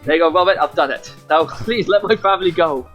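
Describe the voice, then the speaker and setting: A man's voice: fairly high pitch, fast, a bit bright. Guy, young, jokey co-host, muffled lo-fi sound with faint music. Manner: jokey, rambling with fillers. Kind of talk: casual live-stream chat. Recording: dull lo-fi mic, faint music.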